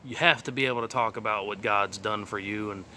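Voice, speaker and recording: A man's voice: on the low side, brisk, sharp tone, slight background noise. A man in his late thirties with a Texas accent, telling his faith testimony in a rambling, plainspoken way, full of fillers, recorded outdoors. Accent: Texan. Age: 30s. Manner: rambling, plainspoken, many fillers and trailing asides. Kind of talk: casual personal testimony. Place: outdoors on a sunny day.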